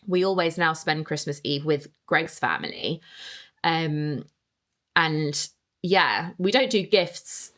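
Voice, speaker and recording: A woman's voice: low-pitched, slow pace, fairly bright tone. A young woman hosting a casual solo podcast, chatting in a rambling way and reading out listener answers. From England. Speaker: podcast host.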